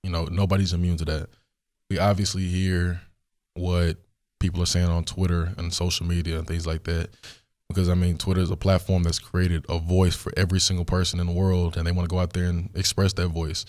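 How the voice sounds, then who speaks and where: Hoarse low-voiced young man hosting. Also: a little fast. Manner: relaxed, rambling, frequent fillers. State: hoarse, recovering voice. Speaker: podcast host. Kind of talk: solo Q&A podcast. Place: studio.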